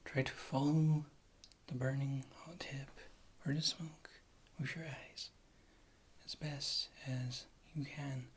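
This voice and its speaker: A performer acting out a witch healer in an ASMR fantasy roleplay, low in pitch and very breathy, often dropping to a whisper. The witch talks slowly and haltingly, with dry disdain for adventuring customers. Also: steady tone. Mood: wry, unhurried. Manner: slow, halting, dryly disdainful. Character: witch healer, wry, condescending adventurers' shopkeeper.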